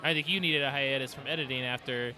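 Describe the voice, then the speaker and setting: A man's voice: mid-range voice, fairly even intonation, fast talker, rough recording. A young man co-hosting a podcast, chatting and laughing over beers outdoors at a brewery. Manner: laughs often, jokey and chatty. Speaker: podcast co-host. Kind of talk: casual chat over beers.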